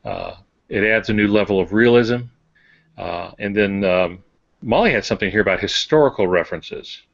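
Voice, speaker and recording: A man with a lowish, gravelly voice explains things casually as a podcast co-host. The sound is close and dry, though a little dull and lo-fi. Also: animated, moderate pace, no room sound.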